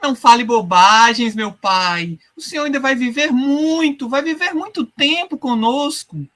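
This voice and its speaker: Woman playing a high-voiced anxious young son. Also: animated, measured pace, fairly bright tone. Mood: anxious reassurance. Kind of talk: audio drama acting.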